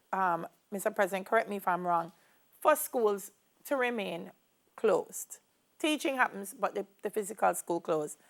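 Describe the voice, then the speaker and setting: A woman co-hosting a morning show, putting questions politely and deliberately. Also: fairly high pitch, vocal fry. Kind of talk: conversational interviewing.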